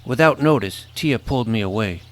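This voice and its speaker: A man's voice: medium pitch, expressive, a little fast, lightly acted. A man playing an earnest, awkward boy hero in an audio drama, casual and boyish as he tells his adventure. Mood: reflective. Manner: casual, boyish, hesitant phrasing. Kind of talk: first-person scripted narration and acted dialogue.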